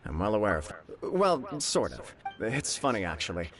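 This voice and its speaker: An actor playing a modest, earnest young samurai clan leader in a video game, deferential and slightly flustered. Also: male voice, lowish voice, very animated, moderate pace, crowd noise. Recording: music behind the voice. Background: game music.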